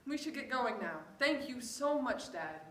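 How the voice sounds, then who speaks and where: A woman's voice: sits high, very animated, normal speed, a bit bright. A woman playing an affectionate, cheerful mother of a young boy in a staged play reading. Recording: echoey room, background noise.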